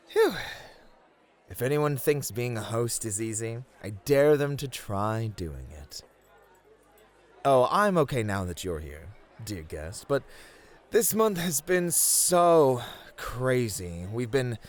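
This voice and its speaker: Male actor as doting, teasing bunny club host for ASMR roleplay; very animated intonation. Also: mid-range voice. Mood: caring, weary. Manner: affectionate, teasing, attentive. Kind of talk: flirty ASMR roleplay addressed to the listener.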